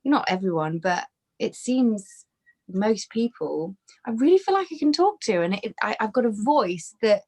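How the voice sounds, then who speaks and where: A woman's voice: medium pitch, sing-song, darkish tone. Chatty woman on a video call. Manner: chatty, halting, many restarts and fillers. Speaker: podcast guest, fitness trainer. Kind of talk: casual conversational interview. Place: bedroom with brick wall. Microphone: video call webcam.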